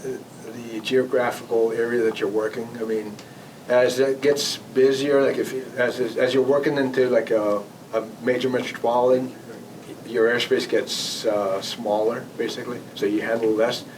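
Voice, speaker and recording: A man speaks informally at a meeting, often rephrasing as he explains things. He is some way from the microphone, and there is clear background noise. His voice sits at an average pitch. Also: flat delivery, a little mellow. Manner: halting, conversational, frequently rephrasing and repeating words. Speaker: former air traffic control manager, guest speaker. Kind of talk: informal presentation with audience questions. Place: club meeting room. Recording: distant mic, noisy meeting room.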